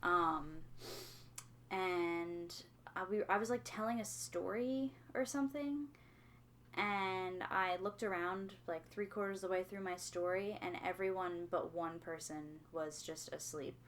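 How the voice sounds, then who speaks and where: A young woman co-hosting a casual podcast, with a soft, soothing voice, telling long, rambling stories full of ums and likes. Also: lowish voice, measured pace, slight background noise. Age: young adult. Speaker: podcast co-host. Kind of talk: casual chat.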